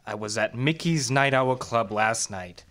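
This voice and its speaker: A man's voice: medium pitch, measured pace, a bit bright, lightly acted. A man playing an indignant, jealous murder suspect in a radio drama, defensive and melodramatic. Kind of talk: old-time detective radio drama acting.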